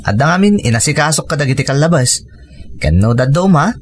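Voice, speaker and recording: A man's voice: a little high, very animated, brisk, slight background noise. A man playing a hardworking, modest husband and fond father with a Filipino Ilocano accent in a radio drama. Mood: respectful, bashful.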